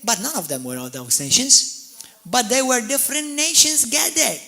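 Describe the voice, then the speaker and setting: A man's voice: very high-pitched, moderate pace, gravelly. A man preaching a sermon as pastor, telling stories with energy, repeating and restarting words, and speaking straight to the congregation. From Malta. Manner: animated storyteller, repeats and restarts words, addresses the congregation directly.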